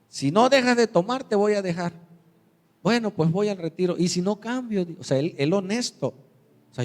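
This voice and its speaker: A man preaching a sermon as pastor, telling stories and acting out the voices of the people in them. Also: darkish tone. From Mexico. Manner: storytelling, acts out quoted voices with big pitch jumps. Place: church sanctuary. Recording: reverberant church hall.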